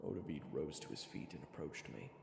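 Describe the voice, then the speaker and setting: Man playing a gravelly, nervous young hero. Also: a bass voice, a little fast, a crowd in the background. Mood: hushed storytelling. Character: young male chef-swordsman, self-deprecating, nervous hero narrator. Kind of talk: first-person scripted narration and acting.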